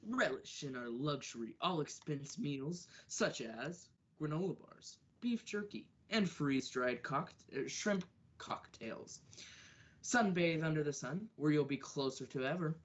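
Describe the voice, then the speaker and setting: A young woman plays a deadpan announcer in a parody radio ad. The voice is extremely low and drags slowly, a bit halting. The recording is dull and lo-fi. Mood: deadpan salesmanship. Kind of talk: mock radio commercial.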